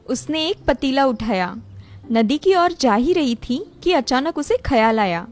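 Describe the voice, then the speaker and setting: A woman narrates a cartoon moral tale from a script. Her voice is high-pitched. Faint music plays behind the narration. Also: very animated, a little slow.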